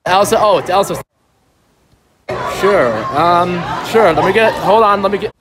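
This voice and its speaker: A man chatting casually as a fan, polite and eager, often halting and repeating himself. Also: high-pitched, background noise. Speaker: vlogger meeting costumed performers as a fan. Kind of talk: casual chat. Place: busy public stadium area. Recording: crowd chatter at a public event. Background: crowd chatter.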